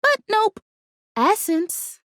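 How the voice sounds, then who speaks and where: A woman voicing an earnest, excitable houseplant who dreams of being real, as a video game character with dramatic, expressive delivery. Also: very high voice, very slow, no room sound, studio quality, heavily acted. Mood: eager explaining.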